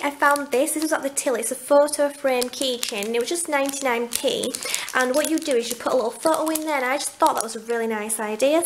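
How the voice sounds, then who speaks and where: Gushing young woman, bright pitch. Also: background noise. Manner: gushing, enthusiastic about cute items. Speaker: vlogger. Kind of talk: casual haul video. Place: home, indoors at a table.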